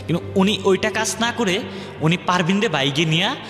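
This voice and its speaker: A man's voice: sits high, normal speed, a bit bright. A young man telling his life story on a radio show, rambling and acting out what others said. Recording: echoey hall-like reverb, music underneath. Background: background music.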